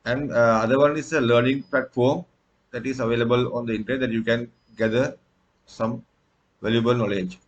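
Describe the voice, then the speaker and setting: A man's voice: medium pitch, fairly even intonation, brisk, highs cut off. A man from Sri Lanka, a native Sinhala speaker, giving a recorded tutorial lecture with frequent fillers and restarts. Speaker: instructor.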